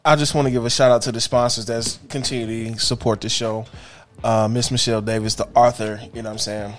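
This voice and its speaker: Guy cohosting a podcast at home, loud crude jokes, laughs a lot, desk mic. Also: mid-range voice, flat delivery, a little fast, faint music behind. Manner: loud, joking, laughs often. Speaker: podcast co-host. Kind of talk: crude casual podcast banter. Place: home studio room. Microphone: studio mic on desk stand, headphones.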